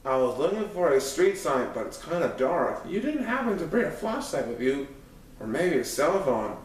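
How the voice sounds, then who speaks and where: A man acts out a mocking teenage boy from a storybook, sarcastic and needling. The voice is slightly high and rings in a very echoey space, back from the mic. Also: big pitch swings, moderate pace. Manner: taunting, sarcastic. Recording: echoey room, far from microphone.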